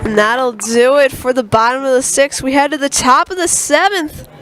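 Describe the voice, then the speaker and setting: A woman's voice: high voice, steady tone, normal speed. A woman doing live play-by-play commentary for a game while recovering from a cold, reading sponsor spots between plays. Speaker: play-by-play announcer. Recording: crowd noise behind.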